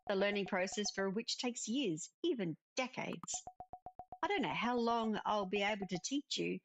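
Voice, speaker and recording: A woman's voice: medium pitch, lively intonation, normal speed, faint music behind, natural voice. A woman voicing a kindly spirit witch mentor in a cozy visual novel, patiently explaining and instructing. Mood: solemn. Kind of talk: cozy visual novel dialogue read aloud.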